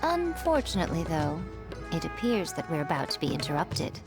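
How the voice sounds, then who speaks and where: A woman's voice: deep voice, sing-song, normal speed, over music. A woman playing a poised, sly, teasing noble shrine maiden in a video game, with elegant, wry, formal phrasing. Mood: knowing, teasing.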